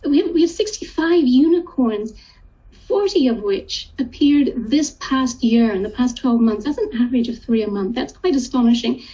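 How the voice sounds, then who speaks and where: A woman speaking as a guest analyst in a business TV interview, polished and brisk. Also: on the high side, dark tone, muffled recording.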